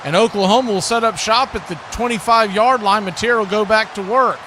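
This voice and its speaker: Man, high voice, live radio football commentary, clipped phrases, clearly noisy background. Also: brisk. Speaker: play-by-play sports announcer.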